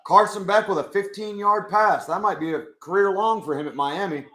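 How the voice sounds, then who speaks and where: A young man with a hoarse voice, chatting casually with his live chat as he watches a football game. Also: high voice, brisk, bright, forceful tone, reverberant. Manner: chatty, swears, talks to chat. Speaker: live stream host.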